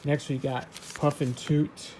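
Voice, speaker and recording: A man casually showing his record collection, reading out titles in a halting, offhand way. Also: fairly high pitch, level tone, darkish tone, slight background noise. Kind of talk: casual show-and-tell vlog.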